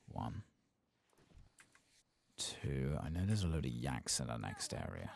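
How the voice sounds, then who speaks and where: Very deep, soft-spoken man with creaky voice. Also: very animated, takes it slowly. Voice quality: soft, hushed, sometimes slipping into whispers. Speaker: video game streamer. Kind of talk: soft-spoken ASMR game playthrough commentary.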